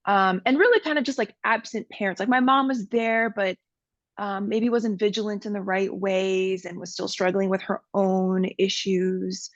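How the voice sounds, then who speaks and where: A woman's voice: medium pitch, fairly even intonation, moderate pace, no echo, muffled recording. A woman from Miami, a podcast guest, speaking earnestly and candidly with frequent fillers. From Miami, USA. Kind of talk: personal interview talk.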